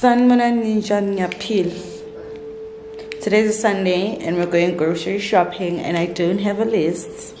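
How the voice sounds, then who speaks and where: A woman's voice: medium pitch, steady tone, normal speed, noisy background. Chatty woman vlogging. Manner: chatty, mixing in Zulu words. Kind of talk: casual vlog chat to camera. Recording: echoey room with loud background music.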